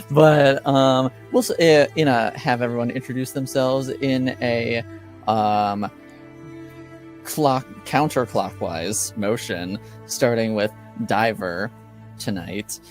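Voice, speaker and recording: A man with a fairly low voice acts as game master. He talks very slowly and informally, feeling his way through an unscripted welcome to the players. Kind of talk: casual unscripted show introduction.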